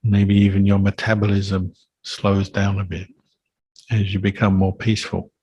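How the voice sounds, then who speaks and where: Male meditation teacher, very even delivery, slightly husky and soft-edged, calm phrasing with gentle pauses. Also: deep voice, lo-fi sound. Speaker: Buddhist monk teacher leading meditation. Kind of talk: guided meditation instruction.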